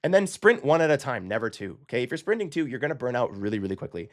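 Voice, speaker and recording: A man talks through a language-learning lesson in a relaxed, conversational way, often pausing to ask "okay?" and correcting himself mid-sentence. His pitch sits in a middle range, and he moves along quickly. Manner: chatty, frequent "okay?" check-ins, self-correcting. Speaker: language-learning channel host. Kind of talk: casual explanatory lesson to camera.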